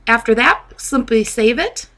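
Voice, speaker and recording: A woman narrating a step-by-step software tutorial as a trainer, in a methodical, instructional way. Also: on the high side, expressive, unhurried.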